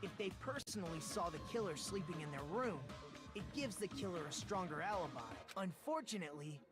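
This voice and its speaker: A forceful teenage boy in an anime mystery game. His voice is high and animated, and he lays out deductions insistently with music behind him. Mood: accusing. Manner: deliberate, insistent, explanatory. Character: earnest, forceful teenage boy reasoning out a crime. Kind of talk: anime video game voice acting.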